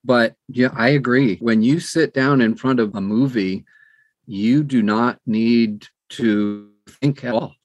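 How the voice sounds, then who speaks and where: A man's voice: medium pitch, slow, no echo, poor audio. An older American man chatting casually as an interview guest. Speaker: guest, audiobook narrator and publisher.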